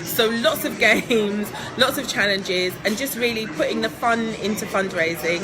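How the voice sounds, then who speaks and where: A woman's voice: a little high, moderate pace, crowd noise, low-quality recording. A woman from England, answering interview questions in a chatty, upbeat way, laughing often and filling with ums. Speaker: guest entrepreneur.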